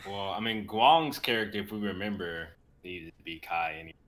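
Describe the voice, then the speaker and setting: A man's voice: sits low, brisk, a bit bright. A man in his twenties chatting casually over a noisy video call. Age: 20s. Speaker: stream cast member. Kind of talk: casual chat.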